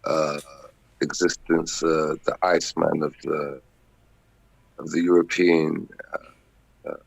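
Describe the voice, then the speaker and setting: A man's voice: rumbling low, little pitch movement, slow pace, gravelly, no room sound, low-quality recording. A man, a musician guest chatting loosely and repeating himself on a remote call. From Netherlands. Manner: laid-back, repetitive, shouts out greetings. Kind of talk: casual interview chat.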